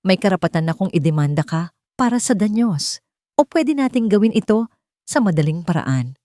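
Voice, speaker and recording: A woman's voice: mid-range voice, sing-song, moderate pace, mellow, dry recording, studio quality, natural voice. A woman playing a wealthy male CEO who speaks in a cold, controlled, insistent manner in an audio romance. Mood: cold, pressuring.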